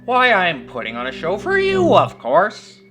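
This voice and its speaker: A man's voice: high voice, huge pitch swings, unhurried, sharp tone, faint music behind, pitched above the performer's own voice, heavily acted. A man voicing an overeager living puppet in a horror story, friendly yet faintly sinister, with theatrical, exaggerated delivery. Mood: gleeful, welcoming.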